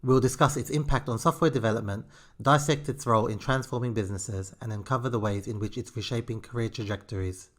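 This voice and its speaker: A man's voice: medium pitch, a bit bright. A man hosting a podcast, reading a scripted intro and then interviewing a guest in a conversational way. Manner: conversational, uses "you know". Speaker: podcast host.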